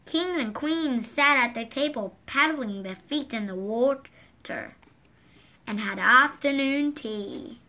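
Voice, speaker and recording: A woman reading a children's picture book aloud at a gentle, unhurried pace, filmed indoors over the pages on a table, lifting her voice for the characters' lines. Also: high-pitched, animated. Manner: slow, gentle read-aloud pacing with lifted voices for quoted lines. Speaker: storybook reader. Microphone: phone or camera filming the book from above.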